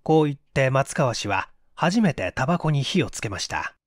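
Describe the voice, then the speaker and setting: A man narrating a mystery story in a measured, deliberate storytelling manner. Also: mid-range voice, big pitch swings, dry recording, clean studio sound. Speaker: audiobook narrator.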